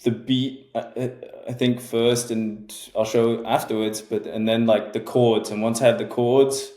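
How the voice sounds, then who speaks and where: Mellow-voiced young man, echoey room. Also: mid-range voice, little pitch movement, a little slow. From England. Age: 20s. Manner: hesitant, many fillers and restarts. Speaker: music producer guest. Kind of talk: casual interview chat.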